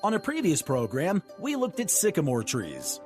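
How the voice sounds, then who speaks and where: A man's voice: fairly high pitch, huge pitch swings, moderate pace, soft background music. A man announcing a radio program with scripted narration. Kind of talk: scripted radio intro and outro.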